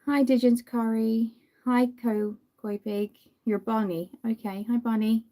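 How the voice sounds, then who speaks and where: A young Irish woman from Dublin chatting casually on a livestream while doing her makeup, greeting viewers by name and filling pauses with frequent ums. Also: a little high, fairly even intonation, mellow. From Ireland.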